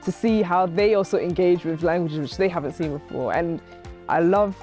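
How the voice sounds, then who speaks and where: Mellow woman, thoughtful and keen. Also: fairly low pitch, normal speed. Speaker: workshop participant. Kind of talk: interview. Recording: music bed under voice.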